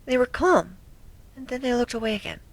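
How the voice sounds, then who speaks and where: A woman voicing a timid, gentle young female pegasus pony, telling a story in a soft, hesitant way that can drop to a near whisper. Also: fairly high pitch, a little fast, no room sound. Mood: shy, curious. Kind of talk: first-person dramatic reading of a fan story.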